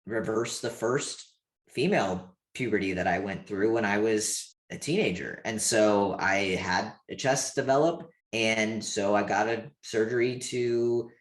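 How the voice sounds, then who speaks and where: Guy around 30, kinda low voice, roomy echo, chatting openly like an interview guest, says like a lot. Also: unhurried. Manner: informal, candid, frequent "like". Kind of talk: candid personal interview. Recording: roomy, echoey sound.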